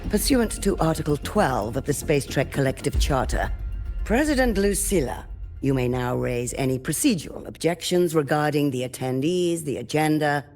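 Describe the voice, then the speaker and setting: An actor playing an officious board secretary in a video game, making a pompous, ceremonious formal proclamation. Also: male voice, on the high side, lively intonation, normal speed, a little mellow, soft background music. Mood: formal, stern.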